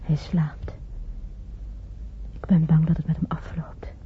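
An actress playing a stern, dignified young wife in a radio play, formal and restrained. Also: female voice, low voice, little pitch movement, takes it slowly, in a whisper. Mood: hushed, icy. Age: young adult. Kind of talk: Dutch radio play acting. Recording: muffled, hissy old radio recording.

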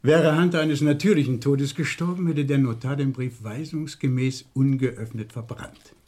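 An actor playing a shrewd, dryly needling police inspector in a vintage radio crime play, deliberate and falsely casual. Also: male voice, mid-range voice, steady tone, a little mellow, lightly acted. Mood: measured, grave.

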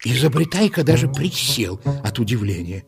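Animated male storyteller over music. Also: medium pitch, moderate pace, vocal fry. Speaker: narrator. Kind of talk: expressive storytelling for a children's audio play.

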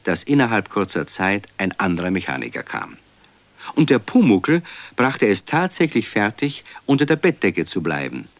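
A man's voice: lowish voice, dry recording. A man narrating a children's radio play as a scripted storyteller.

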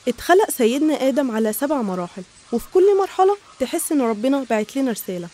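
A woman's voice: high-pitched, animated, fast talker, dark tone. A woman with an Egyptian accent narrating scripted religious stories in an explanatory, didactic manner.

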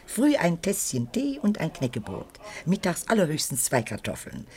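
A genteel old lady, chatty and prim in a radio drama. Her pitch moves in lively swings as she confides politely, a lonely widow glad of company. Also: moderate pace, exaggerated voice. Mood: confiding. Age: older. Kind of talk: naturalistic radio play acting.

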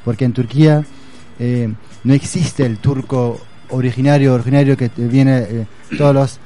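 A man's voice: mid-range voice, fairly even intonation, normal speed, dark tone. A man speaking in a casual conversation, hesitant and searching for words, with many fillers. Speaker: guest.

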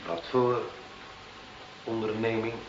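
An actor in a radio play as a proud small-time smuggler, curt, indignant and defiant. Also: male voice, lowish voice, flat delivery, slow pace, a little hiss or hum. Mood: hostile. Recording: distant, roomy, muffled old radio recording.

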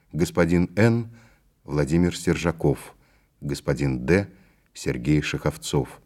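A man's voice: very deep voice, a little mellow. A man reading a formal scripted cast announcement as an announcer.

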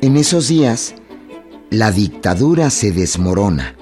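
A man giving formal, measured scripted narration for a historical radio drama. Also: lowish voice, sing-song, a little mellow. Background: strings music.

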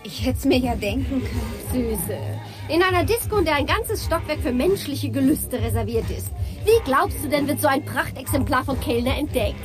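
A woman's voice: high-pitched, expressive, moderate pace. An actress in a radio drama playing a catty, gossipy woman at a party who calls everyone sweetie. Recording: club music behind dialogue.

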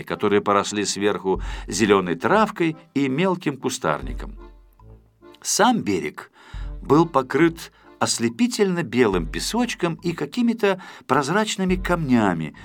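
Animated male storyteller over music. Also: medium pitch. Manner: theatrical, storytelling delivery with dramatic emphasis. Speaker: audiobook narrator. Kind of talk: expressive scripted narration of a children's story.

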